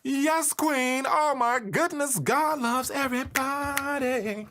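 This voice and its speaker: A man's voice: very high voice, slow, pushed and bright, pitched above the performer's own voice, full character voice. A man voicing a flamboyant, flirty and effusive liberal with exaggerated, slangy gushing in a comic caricature.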